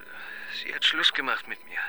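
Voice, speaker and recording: A shy, awkward young police assistant, stammering and deferential, with a very bright, tense edge and a lively pitch. A faint hiss sits under the radio-play recording. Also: male voice, normal speed, low-quality recording. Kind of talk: radio crime drama acting.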